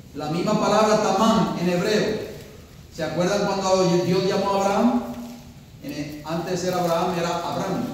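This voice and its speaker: A man preaches a sermon, his voice ringing through a large hall with long reverb. He sits high in pitch and heard from a distance, swelling to emphatic peaks and quizzing his listeners. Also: slight background noise. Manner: preaching with rising emphasis, asks the congregation questions. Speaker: pastor. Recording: echoey hall, voice from far off.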